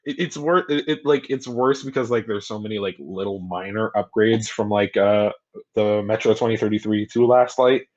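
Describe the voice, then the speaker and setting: A deep-voiced young man co-hosting a podcast, talking in a loose, rambling way full of "like" and swearing. His voice sits low, and the recording sounds dull and lo-fi. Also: normal speed, a little mellow. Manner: rambling, heavy use of "like", swearing, self-mocking. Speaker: podcast co-host. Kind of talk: casual chat.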